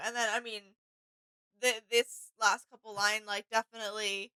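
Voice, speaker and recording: High, bright woman. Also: flat delivery, moderate pace, clear, resonant tone, no echo. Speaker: reaction video co-host. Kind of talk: casual reaction chat.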